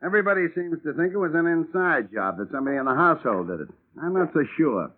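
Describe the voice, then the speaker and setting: Man playing a wary bodyguard, lively pitch, clipped, tinny vintage radio sound. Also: fast talker, a little hiss or hum, natural voice. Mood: blunt. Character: tough male bodyguard, blunt and wary. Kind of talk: 1950s radio drama acting. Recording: tinny old radio broadcast.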